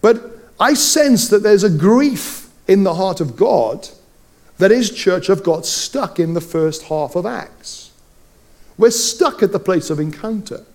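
A man's voice: high voice, lively intonation, takes it slowly, a little mellow. A middle-aged Welsh man preaching a sermon, telling personal stories with playful asides. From Wales. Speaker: pastor.

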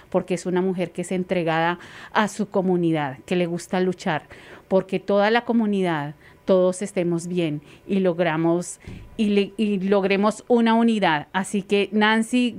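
A woman's voice: on the low side, darkish tone. A woman hosting a talk show, introducing her guest with enthusiastic, repetitive praise and filler sounds. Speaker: radio show host. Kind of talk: interview introduction.

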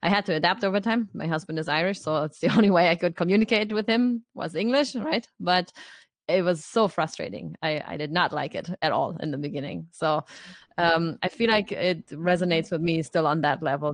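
Female co-host, German first language, low voice, dry close sound, reflective casual talker. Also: moderate pace, no room sound. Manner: chatty and reflective, laughs lightly. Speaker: podcast co-host. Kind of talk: casual discussion.

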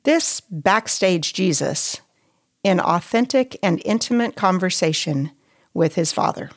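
Slow, lower-pitched woman reading a devotional. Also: expressive. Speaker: devotional writer and reader.

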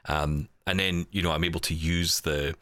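A man with a very low voice that still has a bright, forceful edge. He talks casually and reflectively as a podcast co-host, rambling through explanations and checking in with tag questions. The sound is close and dry. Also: steady tone, normal speed, no room sound.

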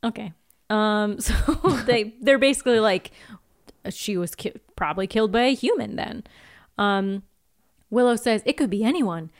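A woman's voice: mid-range voice, normal speed. A woman co-hosting a podcast, recapping TV in casual banter with playful mock protests. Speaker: podcast co-host.

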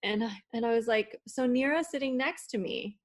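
A woman's voice: fairly high pitch, moderate pace. Young Toronto woman, native Spanish speaker, casual candid storyteller who acts out quotes. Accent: Toronto English. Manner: candid storytelling with swearing, acting out quoted people. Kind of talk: casual conversation.

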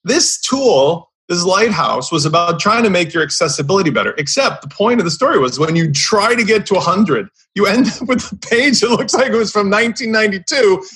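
Man, panelist, blunt and emphatic, rough husky voice, a bit high. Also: animated, fairly quick, few pauses, fairly bright tone. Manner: blunt, emphatic, swears casually. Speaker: podcast panelist. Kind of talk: opinionated casual discussion.

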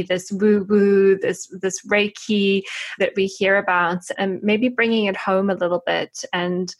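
A woman hosting a wellness podcast, introducing her guest with enthusiasm and quick bursts of speech. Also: medium pitch. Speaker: podcast host. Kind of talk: podcast interview.

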